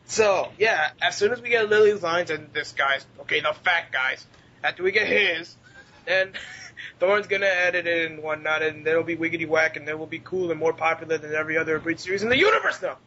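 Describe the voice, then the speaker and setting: High-pitched, bright-voiced man joking crudely. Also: brisk. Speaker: podcast co-host. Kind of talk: casual group chat. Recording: muffled lo-fi audio, background noise.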